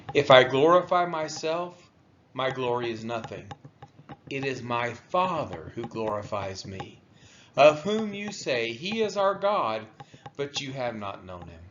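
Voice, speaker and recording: A man reading scripture aloud in a solemn style, with deliberate, emphatic phrasing and a dull, lo-fi sound. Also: mid-range voice, fairly bright tone, slight background noise. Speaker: scripture reader.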